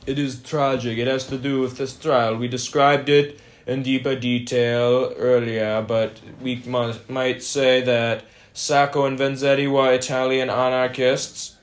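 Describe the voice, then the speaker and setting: Flat, formal young male newsreader. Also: mid-range voice. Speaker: co-host and newsreader of a mock radio broadcast. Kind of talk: scripted newsreading, amateur mock 1927 radio broadcast.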